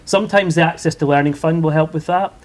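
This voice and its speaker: A man's voice: fairly high pitch, quick pace. A man from university staff answering student questions on a panel, chatty and hesitant, with many fillers and repeated words.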